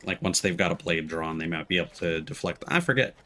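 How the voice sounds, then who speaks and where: Low-pitched man casually commentating a game. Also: animated, fast talker, sharp tone. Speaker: game streamer. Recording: noisy game audio behind voice. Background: video game sounds.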